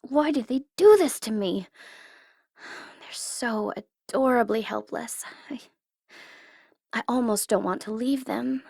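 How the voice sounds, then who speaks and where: Female supervillain for ASMR audio drama, played by a woman: teasing, theatrical, easily flustered tsundere, measured pace, very dry intimate recording. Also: on the high side, gravelly, darkish tone, dry recording, exaggerated voice.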